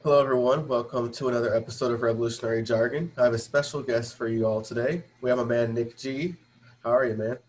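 A man's voice: medium pitch, steady tone, quick pace, slight background noise, low-quality recording. A man hosting a show in an informal, slangy way, chatting casually with a guest and at times reading aloud from an article. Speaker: show host.

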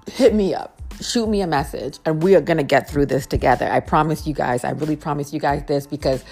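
A young woman with a naturally low, deep-toned voice hosts her own podcast. She talks straight to her listeners in a casual, heartfelt way and repeats phrases to press her point home. Faint music plays behind her. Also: darkish tone. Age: young adult. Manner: earnest, repeats phrases for emphasis. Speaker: podcast host.

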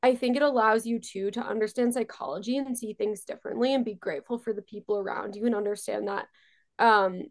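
A woman's voice: mid-range voice, fairly even intonation, a little fast. A woman in her twenties, raised in New York, talking casually as an interview guest, chatty and full of fillers. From born in Israel, raised on Long Island, New York. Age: 20s. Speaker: guest entrepreneur.

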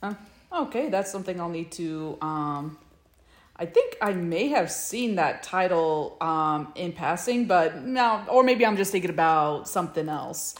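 A woman's voice: lowish voice, animated, a little slow. A woman chatting casually as a podcast co-host, often hesitating as she searches for words. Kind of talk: casual chat about fan fiction.

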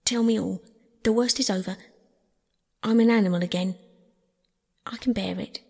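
A woman voicing a conceited, excitable male toad with a genteel, old-fashioned and chatty manner in a storybook. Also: on the high side, moderate pace, creaky, slightly rough, long reverb tail, exaggerated voice. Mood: resigned.

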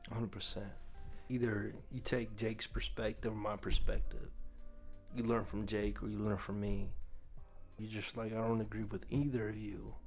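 Blunt, swearing man with a low voice. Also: little pitch movement, normal speed, a little mellow, faint music behind. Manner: blunt, profane, emphatic. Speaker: podcast host. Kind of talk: casual podcast chat.

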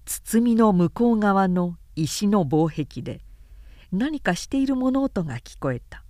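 Woman reading a story, expressive pitch, dry sound. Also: no echo. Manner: measured, clearly articulated storytelling. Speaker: narrator. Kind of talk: scripted literary narration, audiobook reading.

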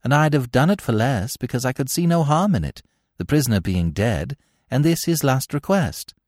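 A man's voice: mid-range voice, big pitch swings, normal speed, darkish tone, dry recording, studio quality, voice pushed up. A man voicing a respectful former soldier who gives a reluctant confession in an audiobook. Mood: prompt, defensive. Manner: hesitant, respectful. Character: deferential male ex-soldier confessing as a bribed witness.

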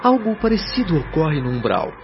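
A Brazilian actor playing a patient, earnest male spirit guide in a radio drama, explaining in formal, measured, articulate phrases. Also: fairly high pitch, very animated, background noise. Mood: animated, instructive. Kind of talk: radio drama acting, didactic dialogue. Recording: dull lo-fi radio drama, music behind. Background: music.

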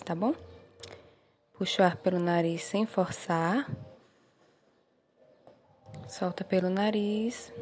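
A slow-speaking Brazilian woman leads a guided meditation. Her delivery is gentle and soothing, with brief words of encouragement between instructions. A faint ambient noise sits behind her voice. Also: mid-range voice. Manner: soothing, gentle, unhurried with short encouraging phrases.

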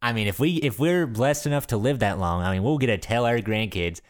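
A man's voice: medium pitch, fairly quick, dry recording, studio quality. A young man chatting casually with friends, often repeating words as he forms his thoughts. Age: young adult. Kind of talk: casual chat among friends.

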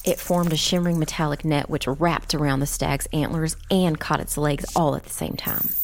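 A woman portrays a young witch recounting her family's ordeal for a dark fantasy audiobook. Her voice sits low, and she tells the story in a grave, measured and dramatic manner. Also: steady tone. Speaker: first-person narrator.

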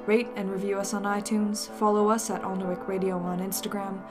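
A woman's voice: medium pitch, level tone, brisk. A woman announcer giving a scripted read of podcast credits. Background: music.

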